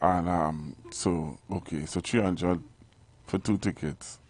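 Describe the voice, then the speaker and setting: A man with a Trinidadian accent hosting a casual live radio interview, teasing and animated in dialect. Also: deep voice, slow pace, no room sound. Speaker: radio show host.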